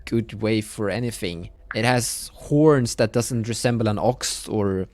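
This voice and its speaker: A man narrating a tabletop roleplaying game as game master, improvising descriptions in a halting, searching way. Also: fairly low pitch, a little mellow, no echo.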